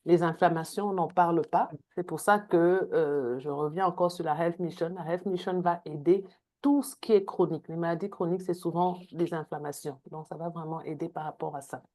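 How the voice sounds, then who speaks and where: A woman's voice: fairly low pitch, fairly even intonation, brisk, darkish tone. A woman giving a product training on a video call, with frequent hesitations and repeated words. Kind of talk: online training talk.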